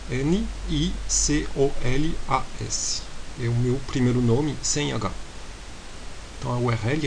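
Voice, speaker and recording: A male university lecturer with a foreign accent talks through his course in an unhurried, hesitant way, pausing and spelling out details letter by letter. His voice sits at an ordinary middle pitch. Steady hiss runs under the recording. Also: poor audio. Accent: foreign accent in Portuguese. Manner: hesitant, measured, spells things out slowly. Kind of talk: recorded lecture podcast, improvised explanation.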